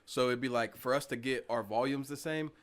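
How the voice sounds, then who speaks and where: A man talks in a calm, reflective way in casual chat, with everyday slang. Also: mid-range voice, fairly quick.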